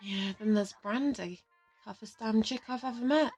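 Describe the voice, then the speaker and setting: A woman's voice: fairly high pitch, normal speed, darkish tone, soft background music. A woman playing a brash, hard-drinking young agent in an audio roleplay, teasing and slangy. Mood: fond reminiscing.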